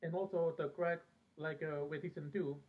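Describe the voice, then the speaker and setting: Phone-like narrow recording, man, slightly high voice, hesitant, rambling casual reminiscence, frequent pauses. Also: steady tone. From Peru, later lived in America. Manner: very hesitant, halting, pausing often mid-sentence. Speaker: fan vlogger. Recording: thin phone-like band-limited sound.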